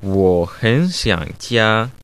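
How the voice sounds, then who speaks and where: A woman learning a language, asking questions and repeating words slowly and carefully. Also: rumbling low, sing-song. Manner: slow, careful repetition.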